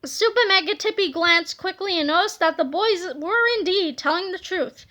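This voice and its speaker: A woman narrating a children's storybook aloud in an expressive read-aloud style. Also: extremely high pitch, moderate pace.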